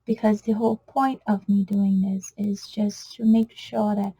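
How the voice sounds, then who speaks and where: A middle-aged Trinidadian woman reads oracle cards in an earnest, soft-spoken way, often falling to a whisper. Her voice is husky and gravelly, with a flat, steady pitch. The recording sounds dull and low-fi. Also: a little slow. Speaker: oracle card reader. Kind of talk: spiritual card reading video.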